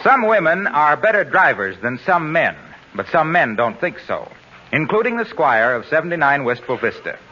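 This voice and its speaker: A man's voice: on the high side, very animated, moderate pace, some chatter behind. A man announcing and reading ads in a polished, salesmanlike 1940s radio style, on a muffled old recording. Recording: dull 1940s radio recording with faint music.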